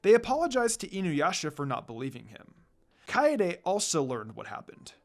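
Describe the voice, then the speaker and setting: A woman's voice: on the low side, sing-song, normal speed, a little mellow. A woman reading fan fiction aloud as an amateur narrator, telling the story in a dramatic storytelling way.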